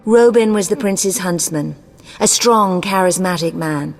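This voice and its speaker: Scheming, haughty sorceress, fantasy game: slightly low, measured, imperious, heavy hall reverb. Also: female voice, gravelly. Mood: cool, evasive. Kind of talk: video game dialogue acting. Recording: long echoing hall reverb.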